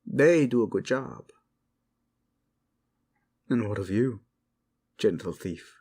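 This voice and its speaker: A man's voice: fairly low pitch, lively intonation, takes it slowly, darkish tone, heavily acted. A man playing a weary, modest and loyal knight-captain, sombre and earnest, in a fantasy audio drama.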